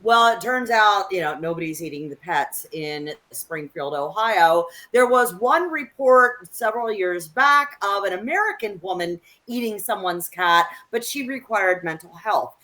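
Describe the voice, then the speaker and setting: A woman co-hosting an unscripted political discussion, speaking in an emphatic, opinionated way and halting as she gathers her thoughts. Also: medium pitch, expressive, bright, forceful tone. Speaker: co-host of a political discussion show.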